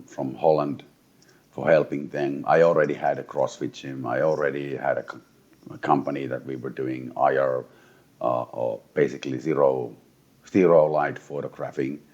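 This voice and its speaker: Very deep-voiced tired Finnish man. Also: a little mellow, dull audio. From eastern Finland. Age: 40s. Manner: blunt, swears, halting restarts. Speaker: guest. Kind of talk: casual interview talk.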